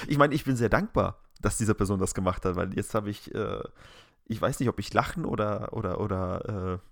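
A man co-hosting a casual podcast, talking in a rambling, halting and incredulous way. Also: lowish voice, dry recording, studio quality. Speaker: podcast co-host.

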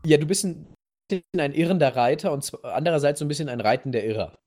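A man's voice: a little high, lively intonation, fast talker, a little mellow. A man hosting a podcast, telling a story in casual conversation and sometimes searching for his words. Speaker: podcast host.

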